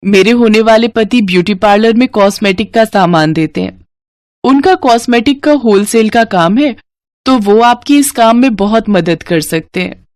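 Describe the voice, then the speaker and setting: A woman's voice: a little high, moderate pace, a little mellow, no echo, clean studio sound, lightly acted. Woman playing an eager, sweet young village woman. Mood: eager friendly. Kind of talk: animated moral story dialogue.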